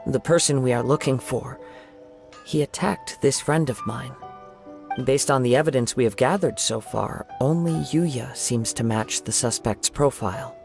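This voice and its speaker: A man voicing a calm young traveler in a video game, politely questioning people. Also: medium pitch, unhurried, vocal fry, faint music behind. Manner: polite, steady. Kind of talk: game voice acting.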